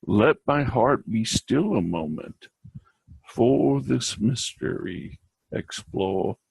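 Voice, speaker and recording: A man giving a dramatic recitation of a poem, theatrical and halting, with occasional stumbles. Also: mid-range voice, expressive, creaky, a little mellow, breathy edge, dry recording, low-quality recording. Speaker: reader.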